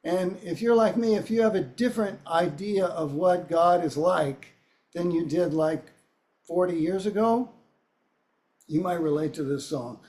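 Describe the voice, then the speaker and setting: A hoarse man with a cold, a singer-songwriter introducing his song with wry, dry humor. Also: high voice, measured pace, a little mellow. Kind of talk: relaxed spoken song introduction. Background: guitar playing.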